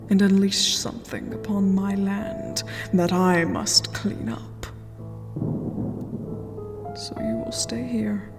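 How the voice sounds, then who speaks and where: A woman playing a cold, stern vampire queen in a fantasy ASMR roleplay, regal and commanding. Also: medium pitch. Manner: slow, commanding, breathy whispered delivery. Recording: long hall reverb, music underneath.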